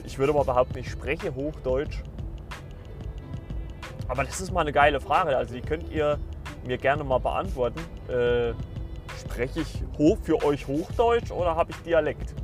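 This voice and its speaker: A man in his thirties from Saxony, hosting a podcast in a casual, meandering way full of filler words and restarts. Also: mid-range voice. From Saxony, Germany. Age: 30s. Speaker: podcast host. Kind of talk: casual rambling monologue.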